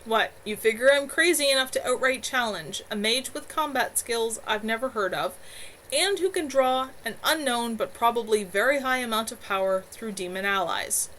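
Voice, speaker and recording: Brief: woman voicing a hotheaded young man, defensive, arguing his point, a bit high. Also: normal speed, fairly bright tone, slight background noise, natural voice, rough recording. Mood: argumentative.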